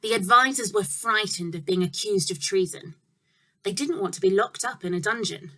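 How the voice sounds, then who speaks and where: A woman reads a children's storybook aloud at a medium pitch. Her delivery is measured and expressive, with clear phrasing and deliberate emphasis on important words.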